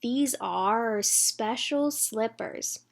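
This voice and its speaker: Storybook role: earnest young ballerina girl, high voice, nervous but striving played by a woman. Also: drawn-out words, gravelly, exaggerated voice. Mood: determined.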